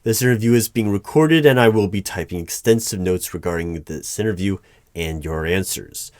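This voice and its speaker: A man playing a formal, easily flustered hiring manager in an ASMR roleplay, speaking in measured, halting phrases. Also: deep voice, a little mellow, no echo, clean studio sound, lightly acted. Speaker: performer of a one-sided roleplay. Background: computer keyboard typing.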